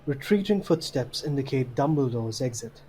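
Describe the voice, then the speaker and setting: A man's voice: mid-range voice, lively intonation, normal speed, darkish tone, slight background noise, rough recording. A man reading scripted narration for an audio drama.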